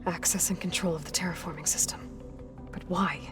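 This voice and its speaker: A woman's voice: fairly low pitch, steady tone, fairly quick, music in the background, close to an everyday voice. A woman acting a determined young warrior heroine in a video game, direct, practical and plain-spoken in a naturalistic style. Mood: probing, serious.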